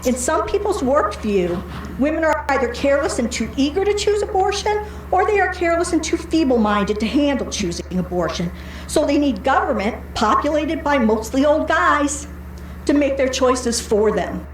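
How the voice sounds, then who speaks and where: A woman's voice: sits high, very animated, normal speed. An American woman reading a prepared speech at a public meeting, argumentative and pointed, with a sardonic edge. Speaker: public commenter at a county board meeting. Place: indoor county board meeting room. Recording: echoey meeting room with faint background noise.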